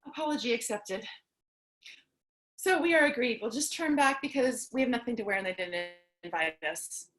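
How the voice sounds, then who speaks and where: Actress as high-voiced, anxious last woman on earth. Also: expressive, moderate pace, a bit bright. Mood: chatty, musing. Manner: dramatic, neurotic, rambling. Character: lonely, anxious, chatty woman surviving the apocalypse. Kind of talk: staged reading of a theatrical fable.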